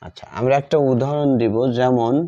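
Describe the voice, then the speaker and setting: A man's voice: medium pitch, brisk, dark tone. A man teaching a grammar lesson step by step, dictating word by word and repeating himself. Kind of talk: step-by-step lesson, writing out examples. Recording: dull lo-fi sound.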